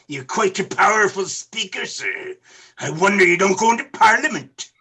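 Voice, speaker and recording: An actor in a radio play playing a bitter, miserly old businessman with a raspy, curt, scornful delivery. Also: high voice, expressive, moderate pace, bright, forceful tone, exaggerated voice. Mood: sardonic. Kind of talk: theatrical radio play acting.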